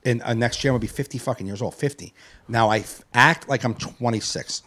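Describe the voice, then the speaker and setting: A man's voice: mid-range voice, brisk, a bit bright. A man in his late forties, a podcast host, talking in a rambling, profane, reflective way. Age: 40s. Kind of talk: casual chat.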